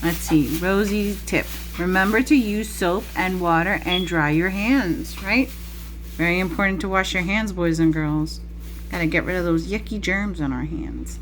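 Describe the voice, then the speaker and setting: A woman's voice: on the low side, moderate pace, slight background noise, poor audio. A woman teacher reading a picture book aloud to young children, chatting to them directly with questions and asides. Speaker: preschool teacher.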